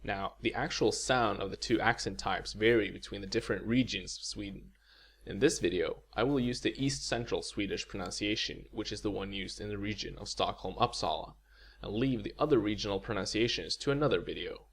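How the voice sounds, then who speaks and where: A man teaching in a scripted video lecture, explaining clearly and saying example words for listeners to repeat. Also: on the low side, a little fast, a bit bright. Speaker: language teacher.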